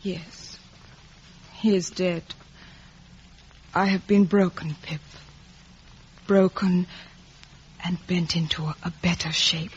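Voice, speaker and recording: An actress playing a once-proud lady, now humbled, speaking in a halting, breathy, pleading way in a 1950s radio melodrama. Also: lowish voice, steady tone, full character voice. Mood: sorrowful. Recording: muffled vintage 1950s radio recording.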